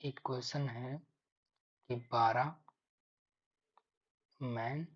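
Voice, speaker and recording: A man's voice: medium pitch, steady tone, drawn-out words, slightly rough. A man teaching maths step by step for exam preparation, thinking aloud and repeating key figures. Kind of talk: step-by-step lesson explanation. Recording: dull lo-fi microphone sound.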